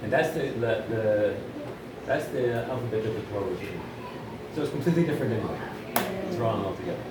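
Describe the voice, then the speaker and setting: A man's voice: fairly low pitch, lively intonation, quick pace, far from the mic. Male Bible teacher, native Hebrew speaker, loose stop-start delivery, recorded from across an echoing, noisy room. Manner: repeats and restarts words, conversational. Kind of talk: informal teaching talk with audience.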